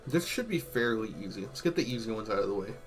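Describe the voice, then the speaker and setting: A man's voice: on the low side, lively intonation, quick pace. Male streamer musing, voice tiring, over music. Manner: slangy, musing out loud. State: voice tiring from hours of talking. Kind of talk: casual live-stream commentary, ranking game tier lists.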